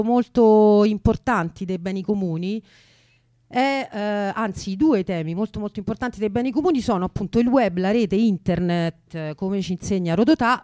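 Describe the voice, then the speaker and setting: A woman presents live on the radio in a medium-pitched voice. She introduces an event in unscripted talk, and the sound is close and dry. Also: a little mellow, dry recording. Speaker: radio host.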